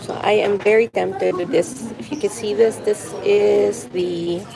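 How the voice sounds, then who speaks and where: A woman's voice: mid-range voice, fairly even intonation, measured pace, creaky, low-quality recording. Chatty woman streaming from a busy café. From Philippines. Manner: chatty, rambling, reads viewer comments aloud. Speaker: vlogger live-streaming. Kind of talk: casual live-stream chat. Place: busy coffee shop. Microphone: phone on a mobile hotspot. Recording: crowded café chatter behind. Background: café crowd chatter.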